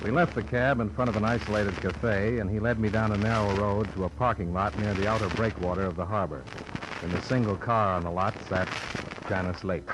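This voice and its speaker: A man's voice: on the low side, steady tone, a little fast, noisy background, lightly acted. A man playing a tough, wry American insurance investigator in a 1950s radio detective drama, acting and narrating. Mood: dry, wry.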